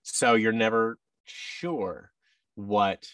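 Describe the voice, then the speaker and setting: An American man in his thirties chatting casually as an interview guest, in a halting, self-effacing manner. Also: lowish voice, a bit bright, no room sound, recorded from a distance. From United States. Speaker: guest, voice actor. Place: office room indoors, video call. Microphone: boom microphone and headset on a video call.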